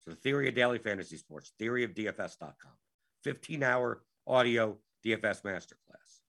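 A man's voice: fairly low pitch, gravelly, sharp tone. A man hosting a live Q&A stream, his voice worn out, talking in an exasperated way and repeating himself. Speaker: show host.

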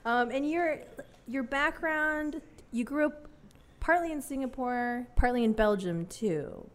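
A woman interviewing a guest in a relaxed way, asking curious questions and laughing along. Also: high voice, a little slow. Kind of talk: casual interview chat.

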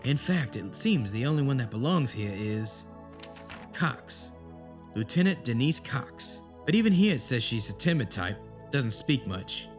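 A man's voice: mid-range voice, sing-song, measured pace. A skeptical senator in an audio drama. He has a mid-range male voice and questions everything bluntly, with a sardonic streak, over a music bed. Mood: brusque, scornful. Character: skeptical, brusque male American senator on inspection.

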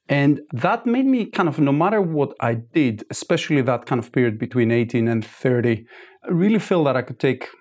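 A man, a startup founder giving measured, thoughtful interview answers with frequent restarts. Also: medium pitch, darkish tone. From Greece.

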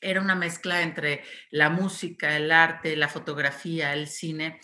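A woman's voice: deep voice, flat delivery, a little slow, pushed and bright. A Mexican woman from Guadalajara gives a virtual conference talk, with many "este" and "eh" fillers. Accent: Mexican Spanish. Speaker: festival director giving a talk.

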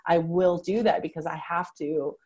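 A woman speaks as an interview guest. She is emphatic and lively, often restarting her sentences. Her voice sits a little low. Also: normal speed, slightly rough. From Venezuela. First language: Spanish. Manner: animated, emphatic, frequent "like" fillers and restarts. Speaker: guest, manifestation coach. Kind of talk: casual interview talk.

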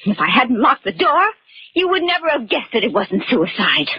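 An actress playing a scheming, desperate young murderess in a melodramatic, breathless 1940s radio mystery style. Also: female voice, fairly high pitch, sing-song, normal speed, husky, full character voice. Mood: defiant, bitter. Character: scheming, desperate young murderess in hysterics, defiant when cornered. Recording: muffled 1940s radio recording.

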